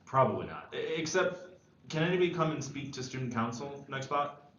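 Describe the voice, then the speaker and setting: A man acting an idealistic, well-meaning high school senior in a screenplay table read, tentative and earnest. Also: very animated, a little fast, fairly bright tone. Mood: hesitant, hopeful. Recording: echoey room, dull lo-fi, faint noise.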